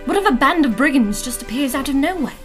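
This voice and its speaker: A woman's voice: sits high, animated, fast talker, faint music behind. A woman playing a practical, wary elf mage in a fantasy audio drama, with a dry, mildly impatient manner. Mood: defensive.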